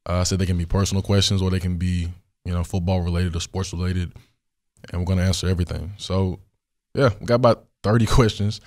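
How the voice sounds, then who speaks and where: A young man with a hoarse, worn voice, hosting a solo question-and-answer podcast in a studio, talking in a relaxed, rambling way with many fillers. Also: sits low, fast. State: hoarse, recovering voice. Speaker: podcast host.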